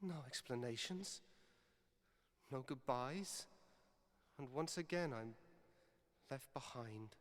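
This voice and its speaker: A man's voice: mid-range voice, expressive, slow pace, pauses often. An actor playing a bewildered young male weaver apprentice in a fantasy game drama, plaintive and wry. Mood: forlorn. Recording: long reverb over music.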